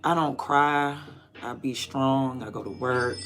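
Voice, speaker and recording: A raspy woman in her thirties talks straight to her livestream viewers, candid and rambling, sometimes loud and sometimes dropping to a mutter. Her voice has a gravelly fry running through it. Faint music plays behind her. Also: a bass voice, slow pace. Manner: candid, rambling, drifts between loud and murmured asides. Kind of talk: casual livestream chat.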